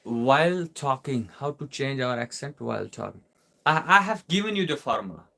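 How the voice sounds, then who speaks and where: A man's voice: mid-range voice, animated, moderate pace, creaky, bright, forceful tone. A man from Kashmir with a Kashmiri Indian English accent, teaching a live online English class and answering questions, repeating his points and asking listeners rhetorical questions. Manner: repetitive, instructive, asks listeners rhetorical questions.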